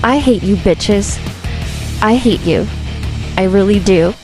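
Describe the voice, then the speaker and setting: A woman putting on the voice of a loud, spiteful woman who calls herself a girl's girl, shrill and exaggerated. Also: slow pace, music in the background, natural voice. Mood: mocking.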